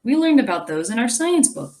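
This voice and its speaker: A woman teacher reading a children's story aloud expressively, pausing to explain and wonder aloud. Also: fairly high pitch, sing-song, a little mellow. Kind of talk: animated children's read-aloud with asides.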